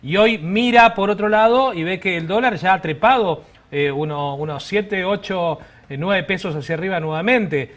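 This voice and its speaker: Un hombre con acento argentino que entrevista en vivo como co-conductor, con preguntas coloquiales y críticas. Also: male voice, high voice, normal speed, a bit bright. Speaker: TV co-host interviewer. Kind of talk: live interview questioning.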